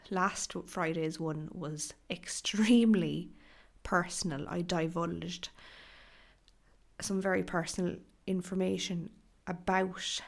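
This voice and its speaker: Hoarse Irish woman hosting a podcast. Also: medium pitch. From Ireland. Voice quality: crackly, breathy in places. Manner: gentle, chatty, with fillers and restarts. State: hoarse from a cough. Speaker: podcast host. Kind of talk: solo podcast talk.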